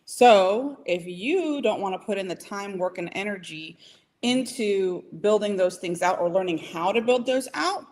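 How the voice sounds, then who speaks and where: A woman's voice: medium pitch, animated, unhurried, a little mellow. A woman business consultant giving advice in a casual podcast chat, emphatic and repeating points for stress. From Puerto Rican heritage, from the US Northeast.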